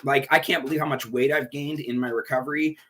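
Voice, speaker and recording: Upbeat, congested man with a bright voice. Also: medium pitch, steady tone, fast talker. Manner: chatty, rambling, upbeat. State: congested, stuffy nose. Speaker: recovery group leader. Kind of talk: casual livestream talk. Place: at home indoors.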